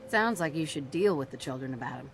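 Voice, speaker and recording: A woman's voice: rumbling low, animated, quick pace, vocal fry, over music, exaggerated voice, low-quality recording. A woman playing a cool, curious wanderer in video game dialogue, asking questions in a direct way. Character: cool, curious female wanderer, tough outsider.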